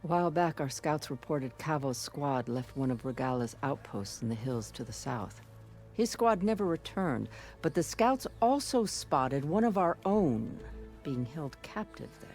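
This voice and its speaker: Old grandma chaplain of a warrior tribe, solemn n measured, video game drama, faint music under it. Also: female voice, sits low, expressive, darkish tone. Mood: grave, measured. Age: older. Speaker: game character actor. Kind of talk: dramatic game cutscene acting. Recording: music behind the voice.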